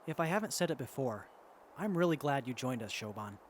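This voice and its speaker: A man's voice: mid-range voice, animated, a little fast, slight background noise, lightly acted. A man playing an earnest, hesitant, kind-hearted wasteland survivor in an audio drama dialogue. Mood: grateful. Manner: hesitant, sincere, soft-hearted.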